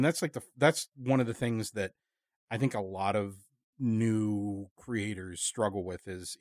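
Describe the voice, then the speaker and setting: A man with a fairly low voice talks candidly as a podcast host. His phrasing is halting and reflective, in a casual conversational style. The sound is close and dry, without room echo.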